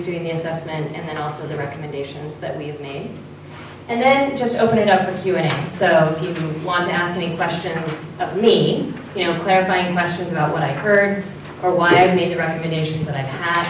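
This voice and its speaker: A woman facilitator speaking loudly and in an organized way as she walks a public meeting through its plan. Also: on the low side, background noise. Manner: loud, clear and organized. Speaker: facilitator presenting an assessment. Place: large meeting room. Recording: echoey hall from far off.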